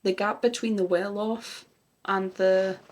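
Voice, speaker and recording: A woman's voice: mid-range voice, little pitch movement, a little slow, a little mellow. A woman who grew up in Germany, co-hosting a music reaction chat and thinking aloud in an earnest, casual way. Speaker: co-host of a music reaction channel.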